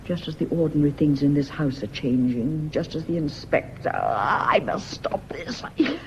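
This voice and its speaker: An actress playing an anxious, guilt-haunted British woman in a vintage radio drama, her delivery dramatic and sometimes hushed. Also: low-pitched, lively intonation, moderate pace. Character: nervous, guilt-haunted woman, former domestic companion, secret lover. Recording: muffled, noisy old radio drama recording.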